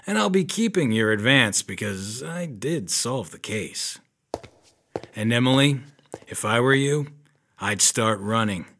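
An actor playing a tough, weary but kind 1930s private detective in a noir audio drama, speaking dryly and deliberately. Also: male voice, medium pitch, animated, a bit bright, slight background noise. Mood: grim, controlled.

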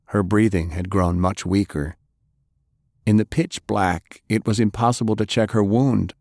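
A man reading fiction as an audiobook narrator, dramatic and grave, with measured delivery. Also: on the low side, steady tone, a little mellow, no echo, clean studio sound.

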